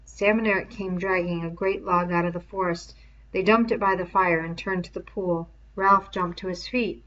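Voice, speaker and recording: A woman's voice: on the low side, steady tone, normal speed, fairly bright tone, dull audio. A woman reading a story aloud expressively, with explanatory asides. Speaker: reader.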